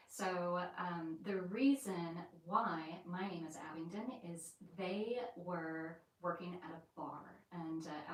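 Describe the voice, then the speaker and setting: A woman chats loosely on a live stream, her American accent tinged with Yorkshire. Her voice sits a bit low and comes from some distance from the mic. The room around her rings with a heavy echo. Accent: American mixed with light Yorkshire. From England and the United States. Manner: chatty and rambling, with many fillers. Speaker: vlogger hosting a live stream. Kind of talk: casual live-stream chat. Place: indoors in an old house.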